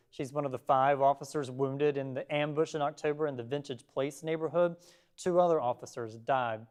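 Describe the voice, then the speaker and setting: A man anchoring a local television newscast, reading scripted stories from a studio. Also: a little high. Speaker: TV news anchor.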